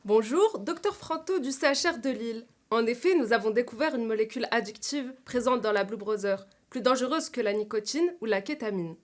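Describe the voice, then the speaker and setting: A woman's voice: on the high side, expressive, brisk, few pauses, close to an everyday voice. A woman playing an earnest female spokesperson explaining things in an audio drama. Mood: serious. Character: earnest young female activist or expert making revelations.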